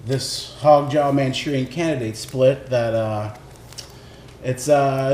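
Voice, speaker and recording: A man talks off the cuff in a casual, rambling way, often stopping to find his phrasing. His voice sits at a middling pitch. The room is echoey, with faint noise behind him. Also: a little mellow. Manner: halting, rambling, laid-back phrasing. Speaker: recording engineer presenting record picks. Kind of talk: casual unscripted chat. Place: indoors in a record shop. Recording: roomy echo, faint background noise.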